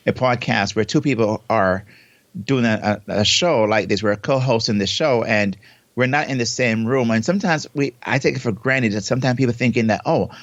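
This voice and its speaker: A Jamaican man co-hosts a podcast from home, talking at length and circling back over his words. His voice sits at a middle pitch. The dry recording sounds dull and lo-fi. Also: moderate pace, no echo. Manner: expansive, repeats and restarts words. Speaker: podcast co-host. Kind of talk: casual podcast chat. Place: home in South Florida, remote recording. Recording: dull, lo-fi remote audio.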